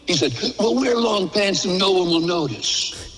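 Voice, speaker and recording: An older American man with a bright, forward voice tells stories casually, as a radio veteran would in an interview. He is chatty and slips into quoted dialogue as he recounts things. The sound carries a long, hollow reverb. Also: sits high, lively intonation, normal speed. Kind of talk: casual anecdotal storytelling. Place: remote call into a live radio broadcast.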